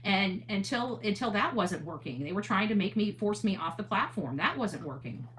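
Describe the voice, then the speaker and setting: A woman with a mid-pitched voice speaks as an online host in a casual livestream monologue. She is earnest and emphatic, often restating a point for weight. A faint noise and hum sit behind her voice. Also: animated, normal speed, fairly bright tone. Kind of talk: livestream talk, casual monologue with replies to chat.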